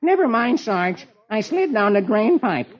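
A man puts on a hapless, folksy young private from a comic strip. The pitch is raised and swoops freely, with a plaintive edge, on a muffled old radio recording. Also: big pitch swings, a little slow, a crowd in the background. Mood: nonchalant. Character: hapless young army private, easygoing handyman. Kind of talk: old radio funny-papers dramatization. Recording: dull vintage radio recording.

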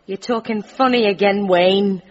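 A woman's voice: medium pitch, a little slow, close to an everyday voice. An actress playing a blunt, no-nonsense British woman, bossy and impatient, in a radio comedy drama. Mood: exasperated. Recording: dull band-limited audio, faint noise.

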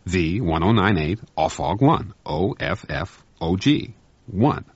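A man's voice: medium pitch, sing-song, a little fast, husky, no room sound, dull audio, voice pushed up. Man doing a fussy, insistent ship's officer. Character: harried spaceship officer doing inventory.

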